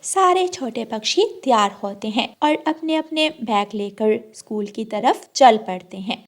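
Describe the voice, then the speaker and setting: A woman's voice: on the high side, animated, unhurried. A woman reading a scripted narration for a children's story.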